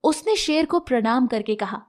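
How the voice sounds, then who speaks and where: A female storyteller with a high-pitched voice reads a scripted children's fable. She narrates the animal tale as a moral story. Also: expressive, normal speed.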